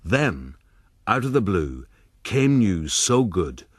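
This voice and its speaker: Deep-voiced vain rogue narrating. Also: male voice, very animated, slow, slightly rough, heavily acted. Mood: aggrieved, then gleeful. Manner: self-regarding, theatrical. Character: self-pitying, vain male rogue recounting his life. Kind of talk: radio drama narration.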